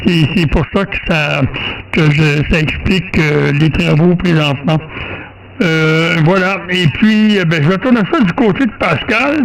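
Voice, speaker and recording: A man's voice: a little high, measured pace, vocal fry, a little hiss or hum, poor audio. A man with a Quebec French accent chatting casually as an amateur radio operator, colloquial and repetitive.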